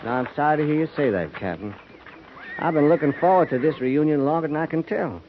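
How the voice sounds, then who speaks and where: A man's voice: on the high side, expressive, a little fast. An actor playing a falsely humble cavalry trooper who needles his captain in a folksy drawl, in 1950s radio drama style. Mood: ingratiating. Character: wheedling, needling old army acquaintance. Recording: muffled 1950s radio broadcast, faint hiss.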